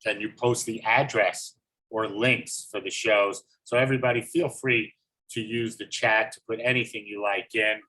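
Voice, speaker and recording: A man hosting an online artist event on a video call, reading out chat comments in a steady, level way. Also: fairly low pitch, moderate pace, poor audio. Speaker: host.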